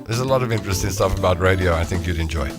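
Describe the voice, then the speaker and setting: A man's voice: low-pitched, very fast. A middle-aged man hosting a live show, chatting casually and self-mockingly as he reads out viewer comments. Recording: music playing behind the voice.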